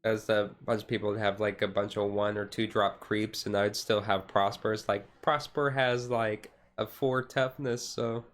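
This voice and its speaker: A man's voice: lowish voice, little pitch movement, normal speed, hardly any pauses. A man streaming a casual, laid-back ASMR chat in a rambling way, with music behind him.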